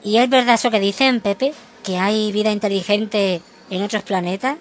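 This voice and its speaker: A woman's voice: medium pitch, fairly even intonation, moderate pace, natural voice. A naive, good-natured family man from a Spanish comic radio play, acted by a woman. The accent is from Spain and the delivery is exclamatory, full of comic emphasis. There is faint noise behind the voice. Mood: friendly, curious.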